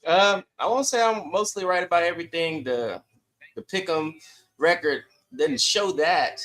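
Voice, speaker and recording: A man's voice: a little high, unhurried, fairly bright tone, poor audio. Laid-out casual male sports co-host.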